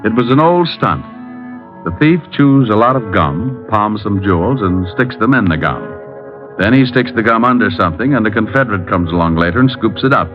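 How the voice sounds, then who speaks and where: An actor playing a cool, wisecracking private detective who also narrates, in an old radio drama. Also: male voice, lowish voice, lively intonation, over music, close to an everyday voice. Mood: narrating. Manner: dry, teasing and unhurried. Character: wisecracking male private eye, cocky and cool. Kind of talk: 1950s radio drama acting. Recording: muffled old radio broadcast.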